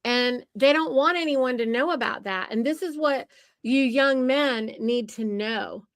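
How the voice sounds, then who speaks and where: A woman's voice: high-pitched, unhurried, no echo, clean studio sound. A woman hosting a podcast conversation, talking in an emphatic, lecturing way. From United States. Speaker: podcast host.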